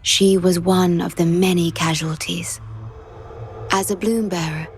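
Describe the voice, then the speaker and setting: An actress playing a gentle, solemn guardian with a measured, soft delivery in a video game. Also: female voice, fairly low pitch, steady tone, faint music behind.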